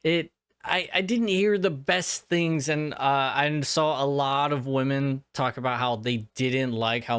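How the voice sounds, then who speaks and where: A man's voice: medium pitch, a bit bright, clear, resonant tone, no room sound, clean studio sound. A young man hosting a solo podcast, gushing with enthusiasm and swearing casually as he reviews films. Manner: enthusiastic, gushing, profane asides. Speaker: podcast host.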